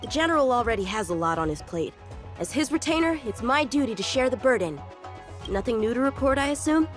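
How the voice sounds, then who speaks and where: A woman's voice: on the high side, expressive, a little fast, soft background music, close to an everyday voice. A woman voicing an earnest, dutiful young boy soldier in a video game, speaking in formal, report-like phrases. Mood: dutiful, businesslike. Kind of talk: video game character voice acting.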